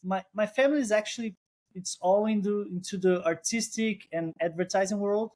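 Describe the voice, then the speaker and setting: A man's voice: high voice, normal speed, a little mellow. Brazilian-accented man, thoughtful guest. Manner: thoughtful, restarts phrases, non-native phrasing. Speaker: guest, co-founder. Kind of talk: casual interview.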